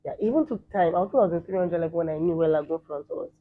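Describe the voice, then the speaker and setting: A Nigerian man in the entertainment field giving quick, emphatic opinions in a casual interview. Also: fairly high pitch. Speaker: guest, entertainer. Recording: thin phone-like sound.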